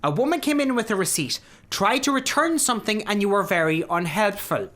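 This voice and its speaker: A male Irish shop boss in a radio drama. He is patronising and overbearing, lecturing with swooping, lively intonation. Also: high voice, a little fast. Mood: brisk, critical. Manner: condescending, lecturing.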